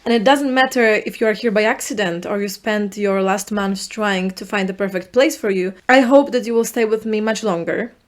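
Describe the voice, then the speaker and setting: A young woman with a Polish accent, a native Polish speaker, hosting a solo podcast in an earnest, reflective way, speaking in short halting phrases. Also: mid-range voice. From Poland. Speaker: podcast host.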